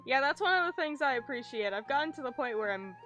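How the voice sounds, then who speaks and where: A woman with a high, very bright voice chats through a game livestream. She is informal and sweary, leaning into dramatic dismay. Game music plays lightly behind her. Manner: expressive, informal, sweary, given to exaggerated dismay. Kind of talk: casual gaming commentary with read-aloud game text. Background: video game music.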